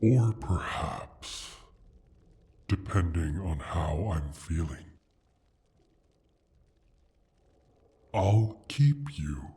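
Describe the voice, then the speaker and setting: A man voicing a proud, sly male dragon in an ASMR fantasy roleplay, speaking in a deliberate, formal, teasing way with a husky, breathy rumble. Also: expressive, mellow, heavily acted. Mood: possessive, silky. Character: injured male dragon, proud, sly, possessive of his hoard.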